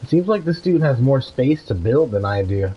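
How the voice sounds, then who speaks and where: A man's voice: mid-range voice, big pitch swings, normal speed, husky. A young man giving casual, slangy live commentary as he streams a video game. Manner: slangy, informal. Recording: slightly dull stream audio. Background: game music.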